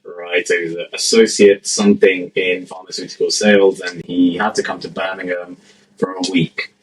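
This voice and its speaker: A British-accented man with a very deep voice and a rough, gravelly edge. He talks in a loose, chatty way, full of "you know" and "sort of". He is speaking as a guest on an office podcast. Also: fairly even intonation, moderate pace, rough recording. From born in India, raised in the UK. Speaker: podcast guest, venture fund founder. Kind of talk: casual interview conversation.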